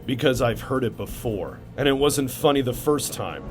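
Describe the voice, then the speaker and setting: A man playing a grim, resolute masked vigilante hero in a comic-book drama, speaking in a stern, measured and earnest way. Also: mid-range voice, animated, loud music behind the voice, close to an everyday voice. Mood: stern, defiant. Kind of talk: comic-book motion comic acting.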